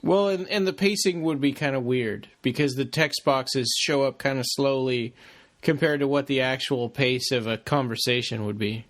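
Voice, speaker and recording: A man's voice: mid-range voice, normal speed, a bit bright. A man co-hosting a podcast, chatting casually as he gives his impressions. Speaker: podcast co-host. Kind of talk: casual discussion.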